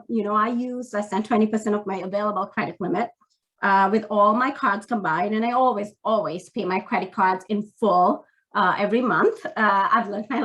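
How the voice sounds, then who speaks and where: A woman in her forties from Sri Lanka talks through a video call at an even middle pitch. She speaks candidly and earnestly, with frequent "um"s. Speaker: panelist. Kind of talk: workshop talk to students.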